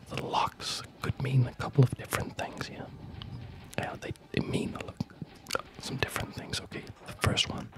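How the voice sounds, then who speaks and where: A man speaking in a breathy ASMR whisper, explaining things casually in a halting, digressive way. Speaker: ASMR video host.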